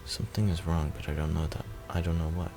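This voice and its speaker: Male reader, extremely low and creaky, subdued measured narration for a visual novel. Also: little pitch movement, music in the background. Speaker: let's player reading a visual novel. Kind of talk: reading narration aloud.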